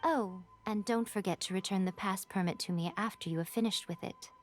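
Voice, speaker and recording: A woman's voice: medium pitch, a little fast, soft background music, natural voice. A woman voicing a sly, teasing shrine priestess in a game cutscene, playful and mocking in manner.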